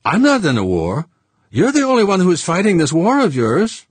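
Excitable man, pitched well up, put on by a male narrator, dry close sound. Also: moderate pace, husky, dry recording, full character voice. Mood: heated. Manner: raised, exclaiming. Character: excitable older man, friend of the hero.

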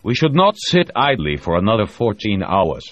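A man's voice: fairly low pitch, very animated, normal speed, no echo, lo-fi sound, voice pushed up. A man doing a stern Russian commander.

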